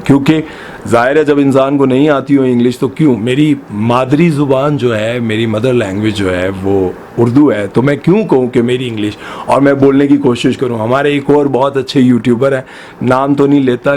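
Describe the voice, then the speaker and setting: A man's voice: mid-range voice, darkish tone, a little hiss or hum. A man from Pakistan, giving opinionated news commentary and firing off rhetorical questions with passion. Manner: impassioned, full of rhetorical questions.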